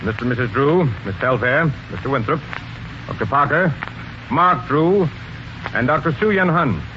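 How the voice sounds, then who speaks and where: An actor playing a brisk, suspicious, no-nonsense police sergeant in 1940s radio mystery style, with a businesslike, probing manner. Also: male voice, medium pitch, expressive, natural voice. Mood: commanding, brisk. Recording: noisy dull 1940s radio recording.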